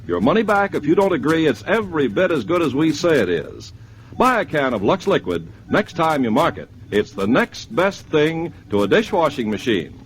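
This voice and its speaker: A man's voice: on the high side, sing-song, normal speed, poor audio. An American man announcing in a scripted 1950s radio style, as on a vintage sponsored broadcast. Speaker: commercial announcer.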